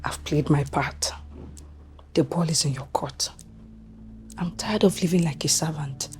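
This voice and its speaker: A scheming servant, resentful and secretive. Her voice is rather low and mellow in tone, delivered in a hushed film-drama manner. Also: takes it slowly, breathy edge, full character voice. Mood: sly, hushed.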